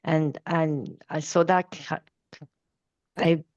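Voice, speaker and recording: A coach from Taiwan introducing herself on a video call, halting and searching for words, with soft laughs. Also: female voice, low-pitched, steady tone, no echo. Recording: dull, dry, lo-fi call audio.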